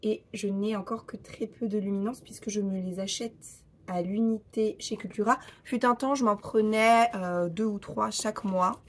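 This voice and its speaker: Hoarse young woman vlogging casually. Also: mid-range voice, fairly even intonation, moderate pace. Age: 30s. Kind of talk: casual vlog chat.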